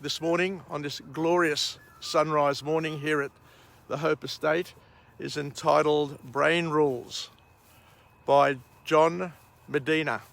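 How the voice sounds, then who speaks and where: Croaky slow-talking Australian man outdoors. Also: a little high, fairly even intonation, fairly bright tone. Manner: slow, rambling, frequent uh and um. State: croaky voice after a night of drinking. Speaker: vlog host. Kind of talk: outdoor morning vlog and book summary. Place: outdoors at a vineyard at sunrise.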